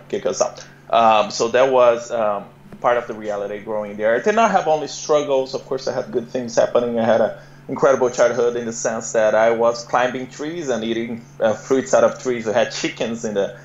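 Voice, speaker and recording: Young Brazilian man, low voice, halting speech, echoey dull recording. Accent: Brazilian Portuguese. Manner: hesitant, many fillers and repeated words. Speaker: guest. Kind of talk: casual interview.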